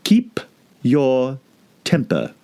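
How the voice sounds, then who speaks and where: A languid, contemptuous caterpillar from a storybook. The voice is raised and swoops widely, with words stretched out very slowly. Every reply is curt, dismissive and superior. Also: male voice, mid-range voice, wildly swooping pitch, creaky, dark tone, voice pushed up, full character voice. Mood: condescending. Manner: slow, drawling, curt and dismissive. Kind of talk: audiobook character voice.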